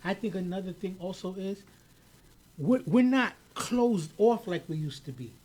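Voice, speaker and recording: Man, podcast co-host, high voice, animated, emphatic, repeats words, casual discussion. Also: normal speed. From United States, of Haitian parents.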